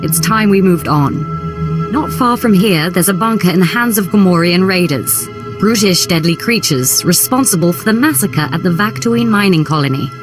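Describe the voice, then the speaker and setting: A woman's voice: fairly low pitch, expressive, moderate pace, lightly acted. A woman playing a poised, courteous Imperial diplomat in a video game, speaking formally and tactfully. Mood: polite, earnest. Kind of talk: video game character acting. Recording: dramatic music behind.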